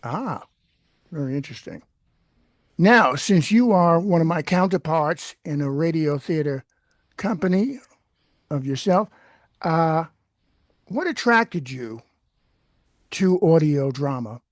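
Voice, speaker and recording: A man hosts an interview, speaking slowly and deliberately. His voice is recorded dry and close, with very little room sound around it. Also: on the high side, lively intonation, dry recording. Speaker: interviewer, radio theater company founder. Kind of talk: hosting an interview.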